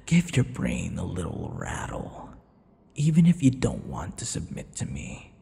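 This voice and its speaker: A man playing a possessive, teasing vampire lover in an ASMR audio roleplay, smug and menacing, murmuring and slipping into breathy whispers. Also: mellow, long reverb tail, heavily acted. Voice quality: low, gravelly. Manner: slow, breathy murmurs dropping into whispers, mocking endearments.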